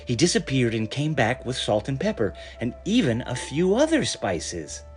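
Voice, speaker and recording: A man reading a children's story aloud in a measured, expressive storytelling manner. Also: medium pitch, soft background music. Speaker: story reader.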